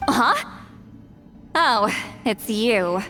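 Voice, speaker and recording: A woman voicing a proud, irritable shamaness in an animated game, with a haughty, huffy, grumbling manner. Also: fairly high pitch, very slow. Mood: surprised. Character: proud, prickly, irritable young-sounding shamaness feared as a granny. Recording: music behind the voice.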